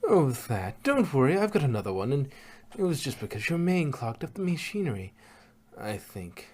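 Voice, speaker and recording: A man's voice: mid-range voice, very animated, normal speed, a little mellow. A man voicing a refined, patient female unicorn dressmaker with genteel, careful wording in a fan fiction reading. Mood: reassuring.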